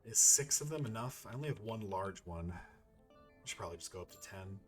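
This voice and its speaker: Low-voiced man doing casual gaming commentary. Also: lively intonation, a little fast, soft background music. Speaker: gaming video host. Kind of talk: casual let's play commentary.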